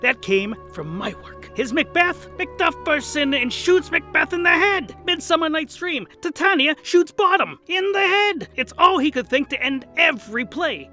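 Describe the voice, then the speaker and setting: A man doing a wry, high-pitched theatrical old playwright. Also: sharp tone, exaggerated voice. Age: older. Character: kindly, aggrieved old male playwright and mentor. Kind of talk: character voice in a fantasy comedy podcast.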